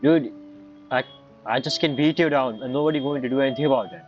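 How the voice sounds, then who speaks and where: A man's voice: medium pitch, fast. A man streaming a game with casual, blunt, slangy commentary in non-fluent English phrasing. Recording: dull lo-fi mic over game music.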